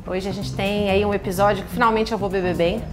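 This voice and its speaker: A woman's voice: medium pitch, fairly even intonation, fast, over music. A woman with a Brazilian accent hosting a casual podcast, chatting informally in a playful, teasing way. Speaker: podcast host.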